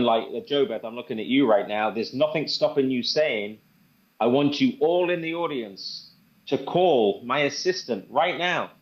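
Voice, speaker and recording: A man giving an informal product demo and sales pitch, conversational and repeating phrases for emphasis. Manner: conversational, repeats phrases for emphasis, acts out sample phone calls. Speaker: presenter demonstrating software to a group. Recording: dull, band-limited sound.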